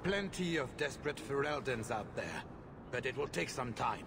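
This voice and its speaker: A man voicing a shrewd, money-minded mine owner with a brisk, dealmaking manner in a video game. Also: mid-range voice, lively intonation, background noise, close to an everyday voice. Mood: businesslike.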